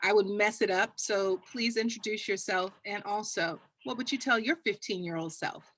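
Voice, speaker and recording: A woman's voice: medium pitch, moderate pace, fairly bright tone, rough recording. A woman with a Texas accent moderating a panel discussion, upbeat and cheering on her guests.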